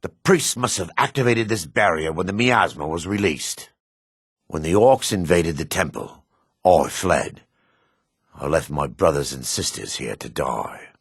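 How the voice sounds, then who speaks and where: An actor playing a devout, remorseful dark elf priest in a fantasy video game, speaking formally and gravely with a raspy voice. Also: male voice, extremely low pitch, expressive, no room sound, clean studio sound, full character voice. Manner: formal, measured, grave delivery.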